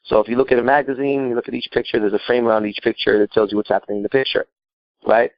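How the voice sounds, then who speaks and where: A man's voice: mid-range voice, level tone, rapid-fire, no echo, highs cut off. A man from Iran giving improvised advice as a call-in show host, emphatic and blunt, repeating himself and asking rhetorical questions. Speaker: podcast host and coach.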